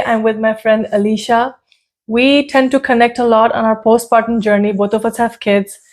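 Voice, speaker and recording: A woman in her thirties hosting a parenting podcast, chatting casually with many fillers and restarts. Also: on the high side. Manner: warm greeting, conversational with fillers and repeats. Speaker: podcast host.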